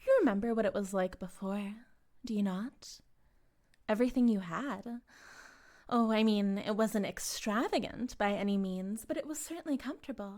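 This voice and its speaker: A woman playing a possessive, self-righteous cult leader in an intimate audio roleplay, cooing and condescending, sometimes breathy, speaking directly to the listener. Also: mid-range voice, moderate pace, a little mellow, close to an everyday voice. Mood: condescending, persuasive. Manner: theatrical, cooing, condescending, drifting into breathy whispers.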